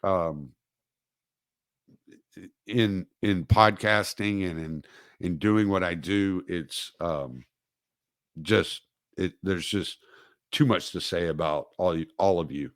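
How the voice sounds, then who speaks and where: Male host, slow unhurried talker, fills his pauses and restarts his sentences a lot. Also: low-pitched, no echo. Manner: rambling, halting, many fillers and restarts. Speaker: podcast host. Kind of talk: casual livestream monologue.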